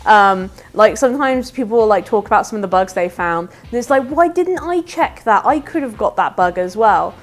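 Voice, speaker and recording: A woman's voice: mid-range voice, lively intonation, normal speed, hardly any pauses. A woman bug bounty hunter chatting casually, with lots of "like" fillers. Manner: friendly, chatty, many "like" fillers. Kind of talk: casual interview answers. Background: faint music.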